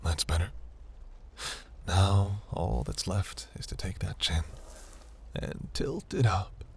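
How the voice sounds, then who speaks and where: A man voicing a seductive, imperious demon prince in a fantasy audio roleplay, velvety, teasing and grandiose, with a breathy, gravelly murmur. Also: low voice, animated, slow, a little hiss or hum, exaggerated voice. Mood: commanding.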